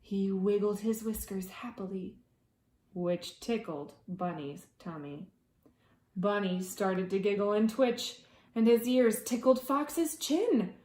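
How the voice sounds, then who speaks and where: A woman preschool teacher reading a children's story aloud in a dramatic way, with hushed asides to young listeners. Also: medium pitch, takes it slowly, reverberant.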